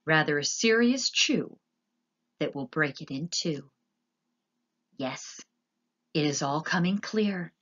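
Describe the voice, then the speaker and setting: A woman's voice: sits low, animated, muffled recording, heavily acted. A woman voicing a scheming, vengeful male rat in a storybook, theatrical and sly. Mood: gloating, hushed. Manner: hushed, drawn-out, theatrical.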